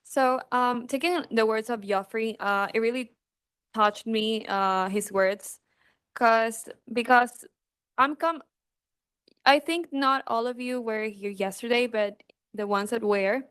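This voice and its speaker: Clear-voiced woman. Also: fairly high pitch, a bit bright. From Guayaquil, Ecuador. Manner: hesitant, pausing mid-sentence. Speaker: panel speaker. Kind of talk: personal unscripted panel remarks.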